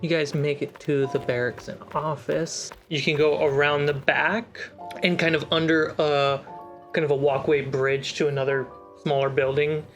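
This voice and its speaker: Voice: mid-range voice, little pitch movement, moderate pace, soft background music. Game master describing scenes. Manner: descriptive, with fillers. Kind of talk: narrating a tabletop game.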